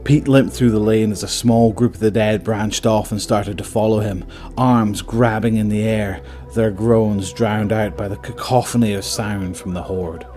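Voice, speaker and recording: A man's voice: fairly low pitch, fairly even intonation, moderate pace, hardly any pauses, dark tone, soft background music. A man narrating a scripted dramatic story as the narrator of an audio drama.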